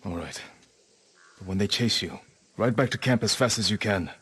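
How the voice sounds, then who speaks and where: An actor playing a stoic, determined samurai lord in a video game, speaking in terse, measured, solemn lines. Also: male voice, on the low side, fairly bright tone, breathy edge. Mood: grave resolve. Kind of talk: dramatic video game acting.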